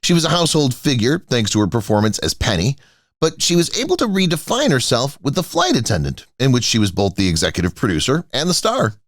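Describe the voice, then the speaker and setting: A man narrating a scripted entertainment rundown with a swooping, highly animated pitch. His voice sits at a middling pitch and sounds dry and close, with no room around it. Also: dry recording. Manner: announces each name as a raised heading.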